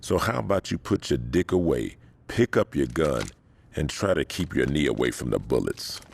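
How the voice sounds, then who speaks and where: An actor playing a proud older gang kingpin in a video game, gravelly, cool and dismissive in his street talk. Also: very deep voice, sing-song, a little hiss or hum, full character voice. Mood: cocky, dismissive. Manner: cool, dismissive, unhurried authority.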